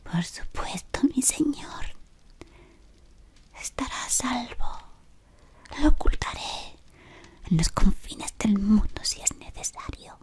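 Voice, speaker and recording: A woman in her thirties whispering an ASMR roleplay as a devoted servant of a dark lord, softly and deferentially, close to the mic. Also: medium pitch, animated, slow, pauses often, husky. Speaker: ASMR creator. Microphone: close to camera.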